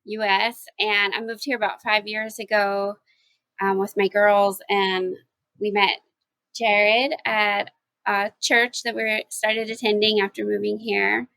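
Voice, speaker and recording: A woman's voice: mid-range voice, flat delivery, takes it slowly, pushed and bright, low-quality recording. A woman from Mississippi, a house church pastor, chatting as a podcast guest with light, playful humor. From Mississippi, US South. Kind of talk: casual podcast conversation.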